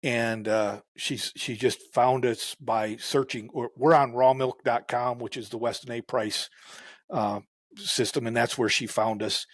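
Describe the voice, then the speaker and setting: A male farmer speaking at an ordinary middle pitch, easy and unhurried, with a lot of "uh" and "um" as he works his way through his thoughts. The recording is dry and close, with no room around the voice. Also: fairly even intonation, dry recording. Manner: unhurried, many fillers and restarts. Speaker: farmer guest. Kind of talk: casual interview talk.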